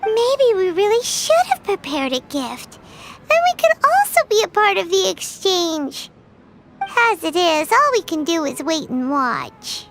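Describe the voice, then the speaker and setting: A woman voicing a tiny childlike fairy companion in an animated video game, perky, blunt and cutesy. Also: extremely high pitch, a little slow, slight background noise, exaggerated voice. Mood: sympathetic, wistful. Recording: music playing under the voice.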